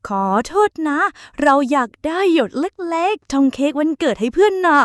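A woman plays a tiny cartoon ant with a very high, cute voice. The little creature is cheerful, eager and sweet, made for a children's storybook tale, recorded close and dry. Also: animated, normal speed, no room sound. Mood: eager, friendly. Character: cheerful little ant friends planning a surprise birthday party. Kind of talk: children's animated storybook character acting.

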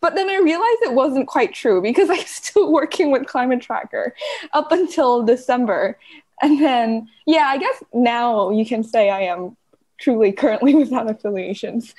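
Bright-voiced young woman from Vietnam. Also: on the high side, unhurried. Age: young adult. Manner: giggly, animated storytelling. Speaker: guest, climate journalist. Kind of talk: casual interview chat.

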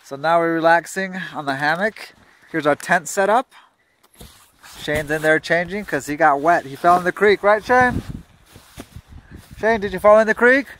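A man's voice: on the high side, normal speed, pauses often, a bit bright, slight background noise. A man in his thirties, a father vlogging a family camping trip outdoors and chatting with his small kids, with the camera held at arm's length. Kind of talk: casual vlog narration to camera. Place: outdoor campsite in the woods.

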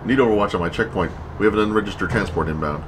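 A man playing a gruff, commanding checkpoint captain in an audio drama, giving brisk, clipped military orders. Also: lowish voice, lightly acted. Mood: urgent, focused. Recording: vehicle and city noise behind.

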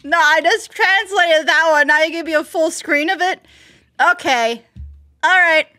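A woman's voice: very high voice, measured pace, sharp tone. A woman hosting a reaction video, thinking aloud in rambling, casual commentary with bursts of exclamation.

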